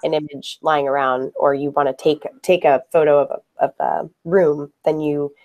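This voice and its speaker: A woman from a software team interviewing a user, explaining features patiently and asking friendly questions. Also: sits low, fairly even intonation, moderate pace.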